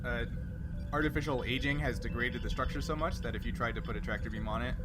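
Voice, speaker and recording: A man acting as game master, narrating a sci-fi tabletop scene in a conversational, halting way. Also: medium pitch, loud music behind the voice.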